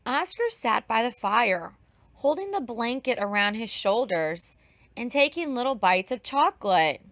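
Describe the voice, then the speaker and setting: A woman teacher narrating a children's storybook aloud, expressively, with drawn-out emphasis for young listeners. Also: a little high, sing-song, fairly bright tone, dry recording. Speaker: pre-kindergarten teacher.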